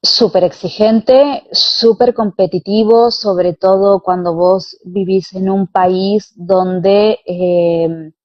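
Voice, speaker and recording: A woman's voice: medium pitch, fairly even intonation, takes it slowly, hardly any pauses. A young woman with an Argentine Spanish accent, answering conversationally as an interview guest. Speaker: interview guest, pilates teacher and former dancer. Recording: dull, lo-fi online call audio.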